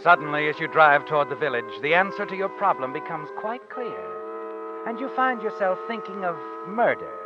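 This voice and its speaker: An actor playing an ominous, knowing storyteller, narrating in the second person in 1940s radio suspense style. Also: male voice, fairly high pitch, sing-song, moderate pace. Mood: ominous, intense. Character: ominous, knowing storyteller addressing the guilty man. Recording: muffled old radio recording. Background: dramatic music.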